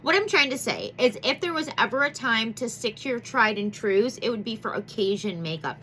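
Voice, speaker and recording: A woman's voice: on the high side, expressive, brisk, a bit bright, a little hiss or hum, low-quality recording. A woman in her thirties chatting as a beauty vlogger, bubbly and rambling, with a congested, nasal voice. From Michigan. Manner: bubbly, gushing, rambling with many fillers. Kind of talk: chatty makeup vlog intro.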